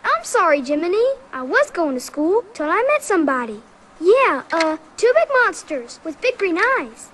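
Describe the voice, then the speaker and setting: A woman voicing a naive, earnest little wooden puppet boy in an animated game, childlike and hesitant. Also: extremely high pitch, normal speed, background noise. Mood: earnest, defensive. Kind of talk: animated game character acting. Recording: loud music behind the voice.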